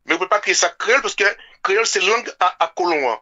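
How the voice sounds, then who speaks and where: A man's voice: sits high, lively intonation, racing, sharp tone, muffled recording. A man with a French Caribbean accent in an impassioned, informal political discussion, repeating words emphatically. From French Antilles. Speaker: radio show speaker.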